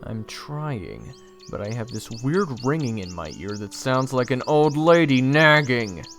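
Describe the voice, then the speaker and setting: A man playing a cocky, grumbling young scout and would-be hero, sarcastic and excitable, in an audio drama. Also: mid-range voice, a little slow. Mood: sulky. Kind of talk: audio roleplay drama acting with narration. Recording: long reverb, music under the voice.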